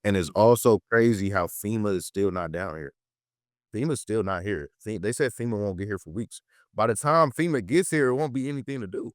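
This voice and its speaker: Male podcast guest, late teens, deep voice, dry close sound, informal emphatic storyteller. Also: brisk, no room sound. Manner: informal, slangy, emphatic repetition. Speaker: podcast guest, political educator and content creator. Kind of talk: casual conversational storytelling.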